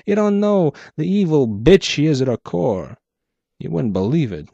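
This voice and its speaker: A gloating clockwork gangster boss performed by a man reading aloud taunts in a theatrical, pitched-up voice. He draws out his phrases with pulp noir relish. The recording is close and dry, a little muffled. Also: sing-song, moderate pace, vocal fry, warm tone, no room sound, heavily acted. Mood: smug, magnanimous. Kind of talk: dramatic audiobook character voice, noir pulp.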